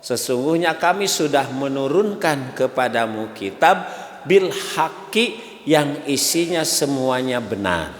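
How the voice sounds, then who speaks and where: A man with a Sundanese accent giving a lively, joking mosque lesson, chanting Arabic verses slowly between his explanations. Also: a little high. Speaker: ustadz teaching a mosque study class. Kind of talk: sermon. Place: large mosque hall. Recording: echoey mosque hall.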